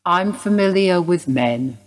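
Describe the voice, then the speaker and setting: Woman playing a low-voiced, hard-nosed policewoman. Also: expressive, takes it slowly. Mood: pointed. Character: sceptical, hard-nosed policewoman questioning a suspect. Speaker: actor in a radio play. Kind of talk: radio drama dialogue.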